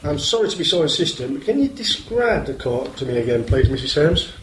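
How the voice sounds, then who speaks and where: A man playing a polite, methodical and persistent police inspector in a radio play, formal and measured in manner. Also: on the high side, sing-song, fairly bright tone. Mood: serious, probing. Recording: roomy echo, faint noise.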